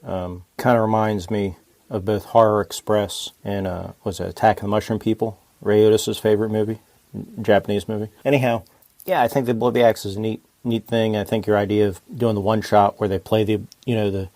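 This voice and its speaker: A man leaving a casual, off-the-cuff voicemail, thinking aloud in a halting way. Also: on the low side, flat delivery, a little mellow, no echo. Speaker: podcaster leaving a listener voicemail.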